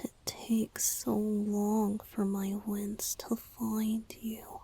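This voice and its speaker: A woman voicing a tender, playful, lovesick young male bard spirit in a romantic ASMR roleplay, speaking in a breathy, whispery, intimate way. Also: mid-range voice, monotone, warm tone, full character voice. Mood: wistful longing. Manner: tender, intimate, slow and hesitant.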